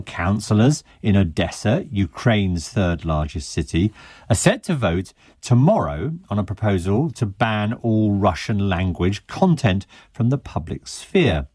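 A male radio news presenter with a very deep voice, introducing stories in a crisp, authoritative manner. the sound is dry and close, straight into a studio microphone. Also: a little mellow, no room sound.

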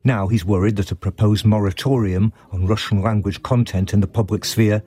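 A male foreign correspondent with a fairly low voice, reading a scripted radio report in a measured, descriptive way. his delivery is steady and clear throughout. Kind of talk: scripted news report narration.

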